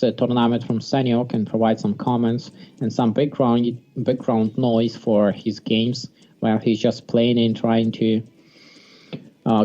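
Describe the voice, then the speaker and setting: A man from Sweden gives casual, halting live commentary on a strategy game. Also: mid-range voice, dark tone. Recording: dull lo-fi mic, music behind. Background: music.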